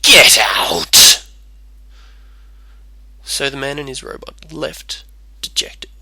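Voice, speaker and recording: A man's voice: a little high, level tone, a little slow, creaky, pushed and bright, no room sound, in a whisper, clean studio sound. A man reading a scripted storybook tale as its narrator.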